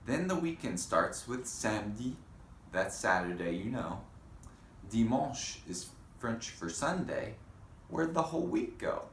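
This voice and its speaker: Slow-talking male teacher in an echoey room. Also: on the low side, very animated. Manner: simple, deliberate phrasing with questions to the children. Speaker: elementary school teacher. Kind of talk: read-aloud lesson for young children. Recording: echoey room with faint background noise.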